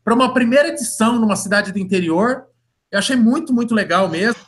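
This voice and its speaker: High, bright-voiced podcast host. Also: male voice, quick pace. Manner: joking, profane, rambling. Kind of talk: casual chat.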